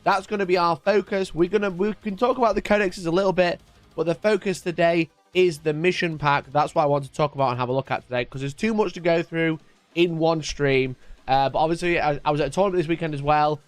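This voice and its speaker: A man hosting a casual live stream, energetic and chatty, with a congested voice from a cold. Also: a little high, fast, no room sound, soft background music. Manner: energetic and chatty, repeating his greetings. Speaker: livestream host.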